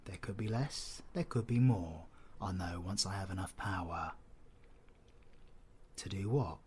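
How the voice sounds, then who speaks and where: A British man playing a cocky, menacing, flirtatious supervillain in an audio roleplay, husky and sardonic, often dropping to breathy whispers. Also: sits low, measured pace, darkish tone, slight background noise. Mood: dismissive, threatening. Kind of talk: scripted audio roleplay, ASMR drama.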